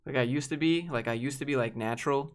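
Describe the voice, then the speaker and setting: A young man hosts a loose question-and-answer chat and answers viewers off the cuff. He rambles openly and keeps restarting his sentences. His voice sits at an ordinary middle pitch for a man. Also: quick pace, smooth. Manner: rambling and candid, many fillers and restarts. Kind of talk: casual livestream Q&A chat.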